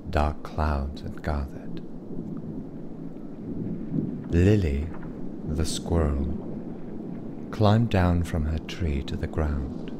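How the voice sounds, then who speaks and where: A man narrating a bedtime story in a dramatic, measured and suspenseful style. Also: very deep voice, heavy background noise.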